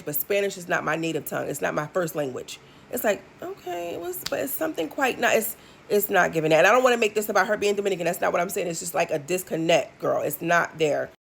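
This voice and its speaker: A woman's voice: medium pitch, gravelly, a little hiss or hum. A woman in her thirties giving blunt, sassy music commentary for her video channel, with lots of ums and asides. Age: 30s. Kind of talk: casual opinion commentary.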